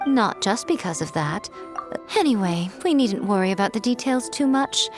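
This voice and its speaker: A woman's voice: medium pitch, animated, normal speed, lightly acted. Woman playing a sly, composed shrine maiden. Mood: composed, explaining. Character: sly, composed fox shrine maiden, teasing and self-assured. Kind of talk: anime video game voice acting. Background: game music.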